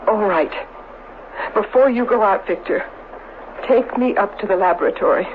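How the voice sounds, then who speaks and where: A woman's voice: mid-range voice, moderate pace, phone-quality sound, lightly acted. Woman playing an anxious lady in old radio drama. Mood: cautious, softening. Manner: hesitant, dramatic. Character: frightened, pleading woman horrified by a monster. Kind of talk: 1970s radio drama acting. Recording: noisy, thin 1970s radio broadcast.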